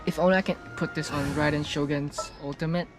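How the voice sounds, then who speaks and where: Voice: mid-range voice, steady tone, brisk, music in the background. A gaming streamer reacting casually to gameplay, repeating phrases and drawing out exclamations.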